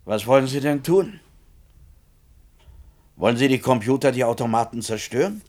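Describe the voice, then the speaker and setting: An actor playing an obliging, plain-spoken bartender in a science-fiction radio play. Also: male voice, medium pitch, moderate pace, darkish tone, lightly acted. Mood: matter-of-fact.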